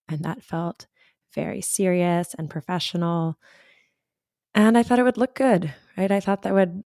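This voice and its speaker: Slightly husky, dark-voiced woman host. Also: lowish voice, no echo, clean studio sound. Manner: reflective, personal storytelling. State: hoarse, recovering from lost voice. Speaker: podcast host and career coach. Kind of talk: solo podcast talk.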